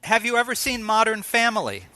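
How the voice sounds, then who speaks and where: A middle-aged man hosting a conversational interview, asking questions in a halting, tentative way. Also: sits high, very animated, sharp tone. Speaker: interviewer, host.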